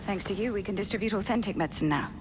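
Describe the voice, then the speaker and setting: An actress playing an earnest, firm Victorian lady nurse in a game, with an English accent and a measured, authoritative manner. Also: medium pitch, natural voice. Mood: resolute. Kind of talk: video game cutscene acting. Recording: long reverb, clear background noise.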